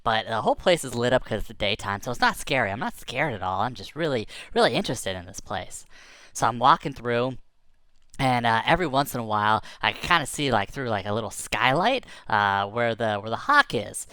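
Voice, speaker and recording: Male storyteller, animated intonation, loose chatty delivery full of fillers. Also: mid-range voice, brisk, clean tone, dry recording. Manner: casual, rambling, lots of fillers. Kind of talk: telling a tall tale.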